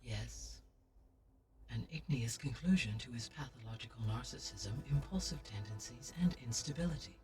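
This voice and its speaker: A woman voicing a calm, formal female AI guardian in a video game, speaking in a measured, precise way. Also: rumbling low. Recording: distant game audio with music.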